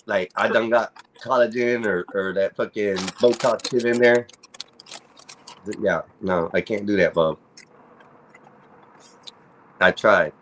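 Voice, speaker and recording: A man with a low, groaning voice and a Spanish-influenced accent, chatting casually and swearing a lot. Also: slow, long pauses, a bit bright, slight background noise, rough recording. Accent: Spanish-influenced English. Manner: laid-back, swears a lot. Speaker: stream guest. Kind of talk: casual crude banter.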